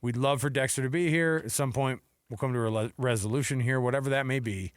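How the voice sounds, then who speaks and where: A man's voice: mid-range voice, fast, no echo, clean studio sound. An American man co-hosting a sports radio show, chatting casually and jokily through the news and acting out imagined quotes. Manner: jokey, conversational, acts out imagined quotes. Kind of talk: casual sports talk banter with news rundown.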